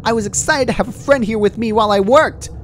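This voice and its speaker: A man's voice: high-pitched, fast, voice pushed up, natural voice. A man voicing a cheerful, childishly chatty, menacing female pony in a perky, scolding manner, reading a story aloud. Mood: pouty, scolding. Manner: perky, scolding, sing-song matter-of-fact chatter. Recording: clearly noisy home recording.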